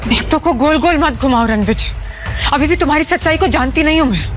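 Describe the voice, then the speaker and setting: High-pitched, proud, defiant businesswoman played by a woman. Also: a little fast, faint music behind, close to an everyday voice. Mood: demanding. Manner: confrontational, emphatic. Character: proud, indignant businesswoman, sharp and defiant wife. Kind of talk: Hindi audio drama acting.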